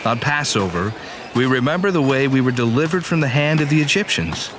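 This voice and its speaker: A man's voice: mid-range voice, expressive, brisk, background noise, lightly acted. An actor playing a reverent man of biblical times in an audio drama. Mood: solemn.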